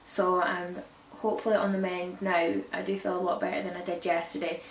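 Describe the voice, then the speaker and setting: A woman with a croaky voice from a cold, chatting casually to camera about baby products and often correcting herself. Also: on the low side, flat delivery, brisk. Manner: rambling, self-correcting, chatty. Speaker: parenting vlogger. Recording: echoey room, distant mic, faint hiss.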